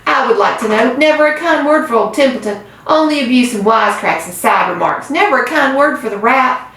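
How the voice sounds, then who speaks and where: Woman putting on a squeaky sarcastic rat. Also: sing-song, a little fast. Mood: sarcastic grumbling. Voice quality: whiny, mocking. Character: grumbling selfish male rat, sarcastic. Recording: very echoey room, distant mic.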